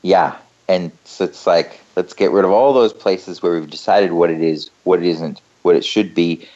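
A man hosting a talk show, speaking in a relaxed, rambling way and often repeating phrases. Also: sits low, normal speed, lo-fi sound. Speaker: host.

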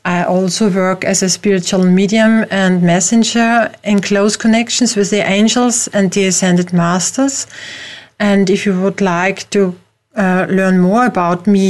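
Slow-speaking Austrian woman host. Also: medium pitch. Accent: Austrian German. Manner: slow, hesitant with many fillers. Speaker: radio show host. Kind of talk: radio talk show chat.

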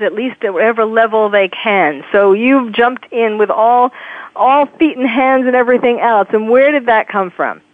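A woman's voice: fairly high pitch, no echo. Hesitant woman host on a thin line. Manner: rambling, hesitant questions with fillers. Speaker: radio interview host. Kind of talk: hosting an interview. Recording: dry, phone-like narrow sound.

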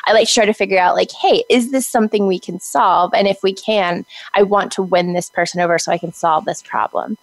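A woman guest in a casual interview, with a high-pitched, girlish voice, talking in a bubbly way and laughing often. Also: a little fast, few pauses, no room sound, poor audio.

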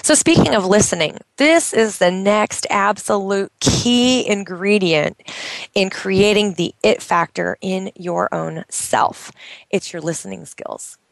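A woman giving an enthusiastic motivational talk as a business coach, emphatic and full of exclamations, with a naturally loud voice. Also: medium pitch, slow pace, creaky, no echo, low-quality recording.

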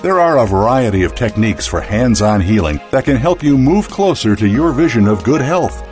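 A man reads a polished introduction for a radio show in a lively, varied voice. Clear music runs behind him throughout. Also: medium pitch, normal speed. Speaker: show announcer.

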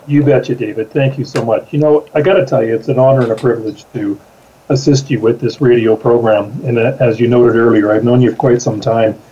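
A man's voice: medium pitch, steady tone, brisk, dark tone, muffled recording. A man from Canada, a business coach, putting friendly interview questions in an upbeat, folksy way.

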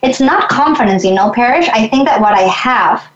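A woman's voice: a little high, animated, normal speed, echoey room, lo-fi sound. A woman from Mexico, a guest in an interview, speaking reflectively and earnestly, often restarting her sentences. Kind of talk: conversational interview.

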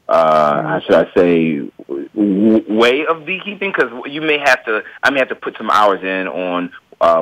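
Relaxed Midwestern man with a very low voice, thinks out loud as a guest, dry close recording. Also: no echo, poor audio. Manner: relaxed, thinks aloud with frequent hedges. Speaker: guest beekeeper and small business owner. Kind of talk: casual interview answers.

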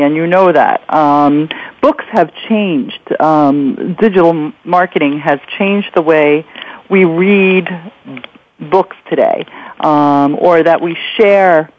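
A woman's voice: deep voice, takes it slowly, a little mellow, no echo. Woman interviewer, dry and slightly muffled. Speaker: interview host. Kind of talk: conversational interviewing.

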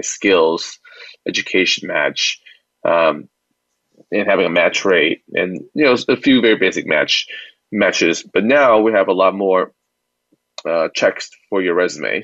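A man with a low voice explains things as the guest in an interview. He talks in a halting, conversational way, often repeating words. The audio is dry and somewhat dull, with limited bandwidth. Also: fairly bright tone, dry recording. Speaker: company founder and CEO, interview guest.

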